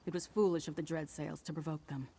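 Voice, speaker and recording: An actress voicing a thoughtful female investigator in a fantasy video game, speaking in a measured, deliberate way. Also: sits low, fairly even intonation, mellow, slight background noise. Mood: grave, explaining. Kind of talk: scripted video game dialogue.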